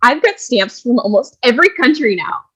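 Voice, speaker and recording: A woman's voice: fairly high pitch, big pitch swings, normal speed, slightly rough, rough recording. A woman playing an eccentric, self-assured cleaning lady who tells tales theatrically and emphatically in a staged comedy reading. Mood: cheerfully oblivious. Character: chatty, opinionated cleaning lady Sister Lawrence, eccentric storyteller.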